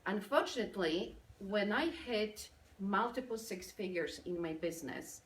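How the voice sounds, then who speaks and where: A woman from Poland with a Polish accent, giving a lively motivational business talk to a live audience. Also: mid-range voice, a little slow. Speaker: speaker. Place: event room with audience. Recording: echoey room from a distance.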